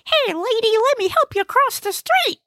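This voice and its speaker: Woman doing a very high-pitched, bossy little male alien. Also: lively intonation, moderate pace, sharp tone, no echo, clean studio sound, heavily acted. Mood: eager. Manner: brash, folksy slangy grammar with made-up words. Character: bossy, cranky small male alien, mangles big words. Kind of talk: audiobook character voice.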